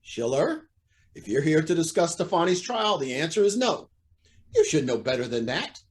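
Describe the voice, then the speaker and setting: A man's voice: a little high, big pitch swings, moderate pace. Guy doing a proud cornered judge, indignant and blustery, radio play, voice jumps around a lot. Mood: surprised, stern. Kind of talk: old-time radio drama acting.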